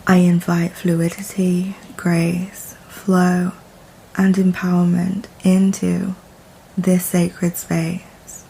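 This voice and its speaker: A woman guides a meditation at an extremely unhurried pace, leaving long pauses between short phrases. Her tone is dark and relaxed, soft and soothing, with noticeable vocal fry. A faint ambient noise sits behind her voice. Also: lowish voice, rough recording.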